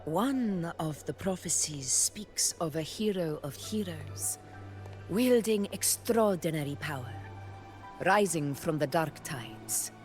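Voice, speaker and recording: Low-voiced proud warrior queen. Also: expressive. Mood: intrigued, challenging. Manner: formal, measured, commanding. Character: proud, resolute warrior ruler woman. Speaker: video game voice actor. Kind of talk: dramatic game dialogue. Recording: music behind the voice. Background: background music.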